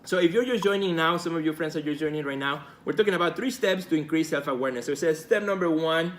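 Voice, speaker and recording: A man's voice: fairly high pitch, fast talker, a little hiss or hum. A man from Mexico with a Mexican Spanish accent, Spanish his first language, hosting a casual live video talk as an art career coach, earnest and encouraging, often repeating his key phrases.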